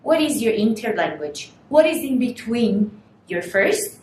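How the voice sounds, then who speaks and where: A young woman whose first language is Portuguese gives a recorded university lecture, explaining slowly and putting rhetorical questions to students. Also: sing-song, darkish tone. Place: small studio room in front of a screen. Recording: echoey room, faint rumble.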